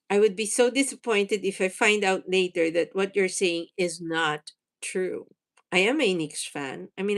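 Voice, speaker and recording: A woman's voice: mid-range voice, fairly bright tone. Filipina lady in her 40s chatting on a livestream, laughs a lot, lots of uhs, tagalog is her first language. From Philippines. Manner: chatty, laughs often, thinks aloud with fillers. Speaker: livestream host. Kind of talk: casual livestream chat reading comments.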